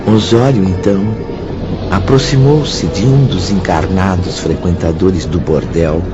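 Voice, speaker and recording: A man's voice: deep voice, measured pace. A man narrating a radio drama in solemn, literary scripted phrases. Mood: grave. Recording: dull sound, loud music behind.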